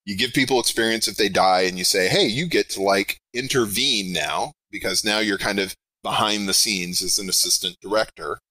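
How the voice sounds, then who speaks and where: A middle-aged man with a big projecting voice, talking in a rambling, animated way as a podcast interview guest. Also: lowish voice, moderate pace, few pauses, no echo, rough recording. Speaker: game designer guest.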